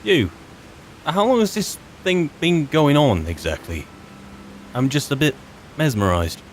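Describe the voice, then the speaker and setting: A man's voice: on the high side, lively intonation, measured pace, a little hiss or hum. A man improvising in-character commentary as he roleplays through a video game, musing and wry as he chats.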